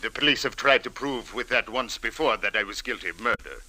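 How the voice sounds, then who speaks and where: An actor playing a haughty, sinister crime boss in an old-time radio drama, speaking formally and without contractions. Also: male voice, medium pitch, brisk, sharp tone, poor audio. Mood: haughty, dismissive. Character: sinister, haughty male Egyptian crime boss, coolly evasive. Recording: vintage radio drama recording.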